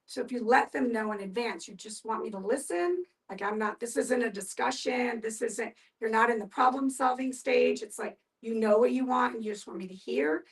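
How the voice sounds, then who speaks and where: A woman's voice: a little high, normal speed, few pauses, distant mic. A woman giving advice in a group discussion in a direct, explanatory way.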